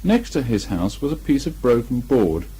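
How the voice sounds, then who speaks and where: A man with a slightly husky voice reading a children's storybook aloud with expression. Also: medium pitch, big pitch swings, normal speed, warm tone, a little hiss or hum. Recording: muffled amateur 1980 home recording.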